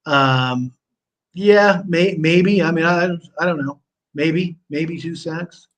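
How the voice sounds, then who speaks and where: A man's voice: a little high, takes it slowly. Hesitant man hedging betting picks. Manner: hesitant, restarts and hedges. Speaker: sports betting co-host. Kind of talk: casual sports betting talk.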